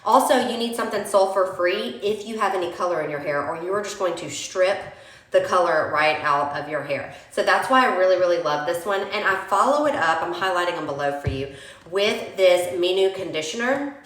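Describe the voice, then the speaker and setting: A woman's voice: fairly low pitch, a little fast, a bit bright. A young woman, chatting casually through a hair-care tutorial while sniffling from a cold. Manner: chatty, addresses viewers as y'all. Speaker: beauty vlogger. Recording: roomy echoey home recording.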